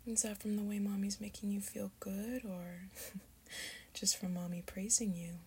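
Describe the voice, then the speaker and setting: A woman acts out a mature succubus, seductive and doting, in an intimate audio roleplay. She speaks mostly in a breathy whisper at an unhurried, slow pace. Her manner is coaxing and soothing, with a little noise faintly behind her. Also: medium pitch, level tone, a little mellow, close to an everyday voice. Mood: tender, praising. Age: adult.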